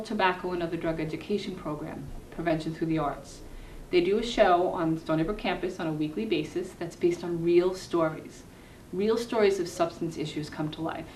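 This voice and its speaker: Lowish-voiced woman in an echoey room. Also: darkish tone. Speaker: health educator, interview guest. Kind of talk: interview and program introduction. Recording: echoey room, distant mic, faint hiss.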